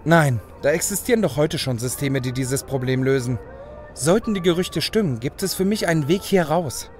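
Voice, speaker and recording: Man acting a brooding, higher-voiced young hacker elf. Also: animated, normal speed, soft background music, close to an everyday voice. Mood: earnest, visionary. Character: cynical, proud young male hacker turning into an elf. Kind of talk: German audio drama acting.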